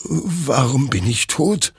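Desperate male soldier, played by a male narrator: strained high, husky, pleading, defiant, war-weary, for a dark story. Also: big pitch swings, a little slow, dry recording, clean studio sound, full character voice. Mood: sobbing, bewildered. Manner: stammering, pleading.

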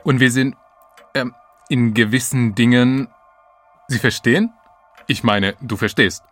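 A man's voice: mid-range voice, fairly even intonation, unhurried, a bit bright, no echo, soft background music. A man playing a fussy, cautious bachelor in a comic audio drama, hesitant and over-polite. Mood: fussy, anxious. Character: fussy, cautious bachelor having a secret affair.

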